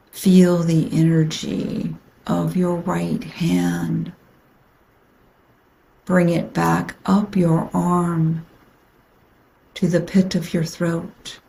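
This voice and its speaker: A woman guiding a yoga nidra meditation in a soothing, unhurried manner with long pauses, her phrases trailing into breathiness. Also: on the low side, creaky, warm tone, poor audio. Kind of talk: guided meditation.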